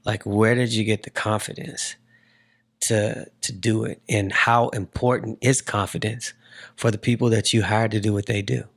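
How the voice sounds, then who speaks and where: A man's voice: lowish voice, level tone, moderate pace. A man in his forties hosting a casual interview, circling toward his point with repeated phrases. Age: 40s. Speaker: podcast host interviewing a guest.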